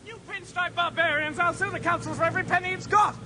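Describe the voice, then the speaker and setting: A man's voice: very high-pitched, fairly even intonation, fast, crowd noise. An actor playing a bewildered, indignant ordinary man with an English accent and a spluttering, sarcastic manner in a radio comedy. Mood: outraged.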